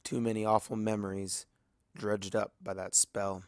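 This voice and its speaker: A man's voice: fairly low pitch, little pitch movement, normal speed, clear, resonant tone, dry recording. Man reading a story close and dry. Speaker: audiobook reader. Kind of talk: first-person fanfiction narration.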